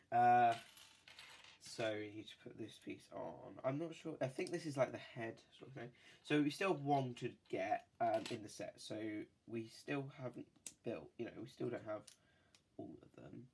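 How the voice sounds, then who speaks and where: A man's voice: on the low side, creaky, darkish tone, slight background noise. A man with a cold, chatting casually and rambling with many fillers while building a toy set at a desk. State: has a cold. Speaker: toy-building video host. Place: indoors at a desk.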